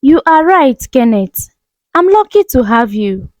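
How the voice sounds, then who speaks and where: A woman voicing a loyal young woman in a story, earnest and expressive. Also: high voice, a little slow, no room sound. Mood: tender. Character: gentle young woman, loyal, firm when threatened.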